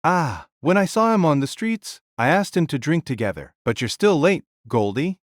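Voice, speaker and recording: A man acts out a big, jovial conqueror king in an audio drama. He talks with animated swings in a slightly high male voice, easygoing and boastful. The sound is dry. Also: moderate pace, no room sound. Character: jovial giant warrior king, hearty and unbothered. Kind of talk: audio drama character reading.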